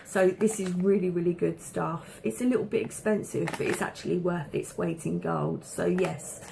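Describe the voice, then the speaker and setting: A woman with a low, gravelly voice chats casually to camera at home. Her throat sounds a little dry from a slight cough, and she fills her pauses with ums and doubles up her words for emphasis. A faint hum of the room sits behind her. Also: fairly even intonation. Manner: chatty, many ums, repeats words for emphasis. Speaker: vlogger showing a food haul.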